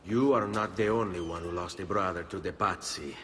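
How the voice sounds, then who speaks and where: An actor playing a bold young Italian avenger in a video game, intense and resolute, with an Italian accent. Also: male voice, low-pitched, brisk, a bit bright, a little hiss or hum. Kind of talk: dramatic video game acting.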